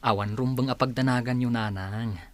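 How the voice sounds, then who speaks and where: A man playing a dutiful grown son in an audio drama, earnest, respectful and calming. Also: medium pitch, moderate pace, no room sound, natural voice. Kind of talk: Ilocano audio drama acting.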